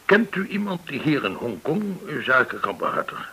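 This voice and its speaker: A man's voice: a little high, very animated, moderate pace, pushed and bright, breathy edge, full character voice, low-quality recording. An actor in a radio play playing a courteous, shrewd male go-between, formal, learned and insinuating. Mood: courteous, probing. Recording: hissy old radio recording.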